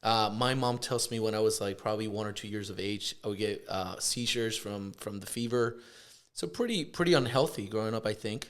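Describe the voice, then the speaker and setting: A man from Puerto Rico, a chiropractor, chatting casually in an interview, relaxed and hesitant with many fillers. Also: on the low side, fairly quick. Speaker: chiropractor, guest.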